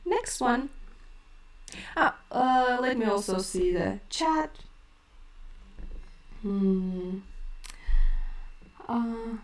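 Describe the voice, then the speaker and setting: Woman, kazakh accent, language teacher, slow hesitant delivery, roomy off-mic sound. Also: a little high, animated, far from the mic. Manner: slow and hesitant, many fillers. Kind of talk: live-stream lesson answering chat.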